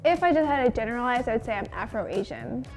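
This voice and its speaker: Young woman w a really high voice, casual and emphatic, bit of music behind. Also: expressive, fast talker. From Kenya. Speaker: cast member in a conversation video. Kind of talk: casual chat.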